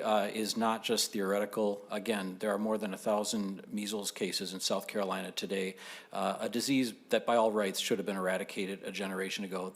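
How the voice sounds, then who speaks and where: A man speaking as a state senator presenting a bill, formal and measured in his delivery. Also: on the low side, gravelly. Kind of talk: formal legislative testimony.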